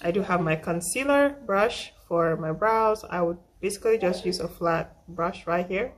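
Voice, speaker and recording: A young woman talks through her makeup brushes in a relaxed tutorial. Her voice is husky and roughened by a flu she is getting over, and it sits a little low. She is chatty and self-deprecating, and she circles back to repeat her points. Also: normal speed, slight background noise. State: recovering from flu. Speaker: makeup vlogger. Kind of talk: casual makeup tutorial talk.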